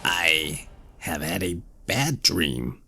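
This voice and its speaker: A man doing the devil's calm old grandmother. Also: sits low, drawn-out words, vocal fry, slight background noise, exaggerated voice. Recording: faint music behind.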